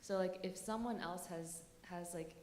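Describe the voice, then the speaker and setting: A woman's voice: lowish voice, moderate pace, a little mellow, a little hiss or hum. A woman artist talking casually to an audience, rambling with lots of fillers and nervous little laughs. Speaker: artist panelist. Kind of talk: casual talk to a live audience. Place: conference hall. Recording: echoey room with faint ambience.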